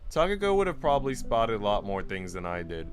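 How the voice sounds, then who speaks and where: A man with a fairly low voice is streaming a visual novel read-aloud. He moves between story narration and loose, slangy talk with his viewers, while faint guitar music plays behind him. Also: sing-song, a little fast. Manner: casual, slangy asides. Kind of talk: calm story read-aloud mixed with casual chat to viewers. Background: guitar and instrumental music.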